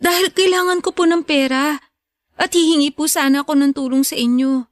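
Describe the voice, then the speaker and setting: A woman's voice: high voice, steady tone, fairly quick, no echo, studio quality, lightly acted. A woman with a Filipino accent playing an earnest, hurt young daughter pleading politely in a radio drama.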